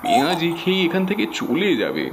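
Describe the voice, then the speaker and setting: A man's voice: fairly high pitch, wildly swooping pitch, fairly quick, slight background noise. A man playing a kindly village snack-seller in a horror cartoon, speaking in an earnest, emotive way. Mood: urgent pleading. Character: kindly, pleading village snack-stall uncle. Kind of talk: cartoon horror story acting.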